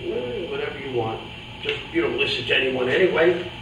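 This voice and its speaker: A man's voice: fairly high pitch, lively intonation, a little fast, lightly acted. A man playing a world-weary boxing manager in a staged reading, speaking bluntly and matter-of-factly as he lectures his fighter. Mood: cautioning. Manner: blunt, cautionary, matter-of-fact. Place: live staged reading in a roomy indoor space. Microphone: distant video camera. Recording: echoey, noisy room, distant camera microphone.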